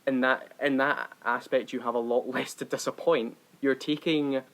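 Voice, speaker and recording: A man's voice: medium pitch, fairly even intonation, moderate pace, fairly bright tone. A man co-hosting a podcast, giving opinionated critique in a casual conversational way. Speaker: podcast co-host. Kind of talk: casual discussion and film critique.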